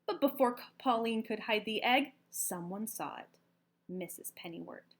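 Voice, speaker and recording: A woman narrates a children's storybook in a high voice that swings widely in pitch. She leans into key words with an expressive, emphatic storyteller's delivery. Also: moderate pace. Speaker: storybook reader. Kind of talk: animated children's read-aloud narration.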